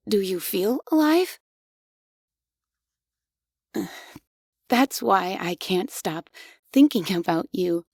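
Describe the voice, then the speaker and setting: A woman voicing a devoted, obsessive female maid robot in a scripted ASMR roleplay. She speaks softly and in measured phrases, close to the mic. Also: mid-range voice, creaky, no room sound, exaggerated voice. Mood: eager, obsessive.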